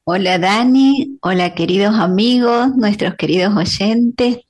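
A woman panelist greeting the listeners of a radio show in an unhurried way. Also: medium pitch, a little mellow.

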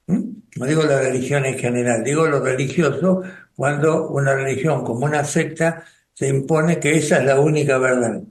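An older man with an Argentine Spanish accent speaks slowly and thoughtfully, with pauses and restarts, as he recalls his past in a radio conversation. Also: mid-range voice, reverberant. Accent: Rioplatense Spanish. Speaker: spiritual group coordinator and radio guest.

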